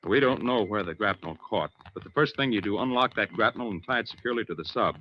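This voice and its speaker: An actor playing a steady, commanding navy diving officer in an old-time radio drama, giving terse, firm, reassuring orders. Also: male voice, on the low side, fast, lo-fi sound, slight background noise, lightly acted. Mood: reassuring, instructive.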